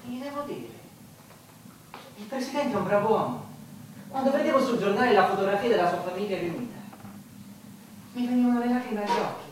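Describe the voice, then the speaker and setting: A man's voice: high voice, brisk, pauses often, slight background noise, close to an everyday voice. A man playing a dead young soldier in a radio play, artless, wry and resigned, talking in a colloquial, rambling way. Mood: artless, casual. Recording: echoey, distant stage sound over guitar music.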